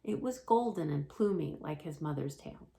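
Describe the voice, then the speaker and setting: A woman's voice: sits low, lively intonation, moderate pace, vocal fry, warm tone. Woman reading a children's story aloud.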